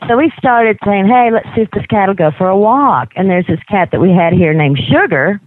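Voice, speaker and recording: A woman's voice: medium pitch, expressive, fairly quick, no room sound. A woman from Mississippi with a Southern US accent, chatting casually and effusively as an interview guest over a tinny phone line. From Mississippi, United States. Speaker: guest, animal sanctuary team leader. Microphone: telephone.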